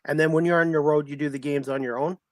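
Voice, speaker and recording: A man with a somewhat high voice hosts a casual sports interview. His phrasing is loose and halting, leaning on stock phrases. The recording is dry, with no room sound. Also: steady tone, no echo. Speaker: sports talk show host.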